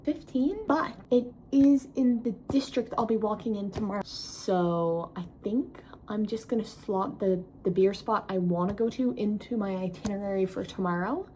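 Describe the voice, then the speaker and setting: A woman's voice: medium pitch, moderate pace, warm tone, slight background noise, poor audio. A woman in her twenties chatting casually to camera as a travel vlogger, self-mocking and tired at day's end. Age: 20s. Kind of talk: casual vlog chat to camera.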